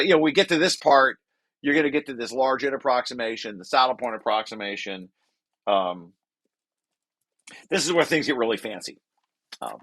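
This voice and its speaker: A man presenting a technical read-through, shifting into informal, halting explanations and often correcting himself. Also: mid-range voice, a bit bright, poor audio.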